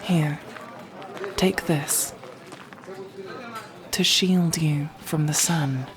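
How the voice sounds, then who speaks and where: A woman playing a regal, resentful, dutiful sorceress matriarch in a scripted ASMR roleplay, speaking in a formal, measured, imperious way. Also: deep voice, fairly even intonation, crowd noise. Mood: commanding.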